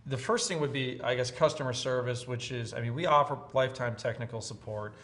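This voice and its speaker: A man's voice: mid-range voice, a little fast, fairly bright tone. A man in his thirties giving a casual product comparison, seated at a table in a room. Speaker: product reviewer. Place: room with brick wall, at a table.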